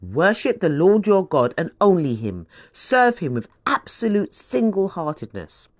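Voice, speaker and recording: A woman voicing Jesus in a scripture story as a firm, authoritative male teacher who speaks deliberately. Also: lowish voice, big pitch swings, no echo, clean studio sound. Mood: resolute.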